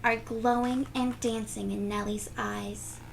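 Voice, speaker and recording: A woman's voice: on the high side, creaky, slight background noise, rough recording. A woman reading a storybook expressively to children, drawing out words emphatically and chatting to the listeners. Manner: animated, emphatic, drawn-out words, gasps and sound effects. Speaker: children's read-aloud host.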